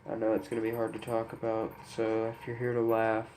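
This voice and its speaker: Low, flat, stuffy-nosed young man. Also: fairly quick, slight background noise, low-quality recording. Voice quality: stuffy, congested. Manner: somber, hesitant, apologetic. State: head cold. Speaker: YouTuber. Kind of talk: unscripted personal talk to camera. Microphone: camera microphone.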